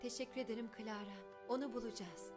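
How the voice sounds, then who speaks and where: High-voiced coaxing cartoon aunt played by a woman. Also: fairly even intonation, fast, music in the background, natural voice. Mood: reassuring. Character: young aunt, caring but firmly persuasive. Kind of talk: dubbed cartoon acting.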